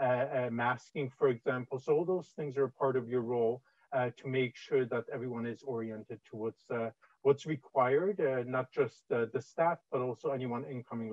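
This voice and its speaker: A man's voice: mid-range voice, darkish tone, no room sound. Canadian man presenting a webinar, filler-heavy. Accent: Canadian English. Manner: many "uh" fillers, conversational. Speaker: webinar presenter on infection prevention. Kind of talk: explanatory webinar talk over slides. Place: indoor room, on video call. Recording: dull, dry video-call audio.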